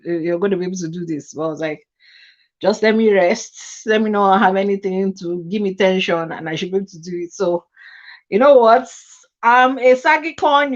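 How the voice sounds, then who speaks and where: A woman hosting a casual livestream chat, animated and chatty, a little nasal from a cold. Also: mid-range voice, highs cut off. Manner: chatty, animated, laughs often. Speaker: host. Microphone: webcam.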